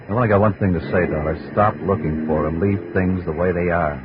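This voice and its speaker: Actor as a very deep-voiced 1950s radio gunman. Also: fairly even intonation, brisk, close to an everyday voice. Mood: firm warning. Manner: slangy, commanding, casually threatening. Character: shady gunman in a bar, coolly menacing, talkative. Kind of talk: 1950s radio detective drama acting. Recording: muffled, noisy 1950s radio recording.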